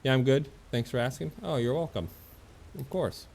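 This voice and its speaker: A man's voice: mid-range voice, moderate pace, vocal fry, darkish tone, slight background noise. A man giving casual livestream commentary on a video game, easygoing and chatty as he thinks aloud and reads out on-screen text. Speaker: game streamer.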